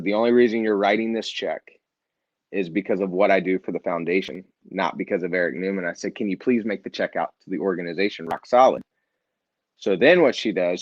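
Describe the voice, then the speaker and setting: A man, a nonprofit founder, telling his story in an interview as an earnest, animated storyteller who acts out the people he quotes. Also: on the low side, no echo, muffled recording.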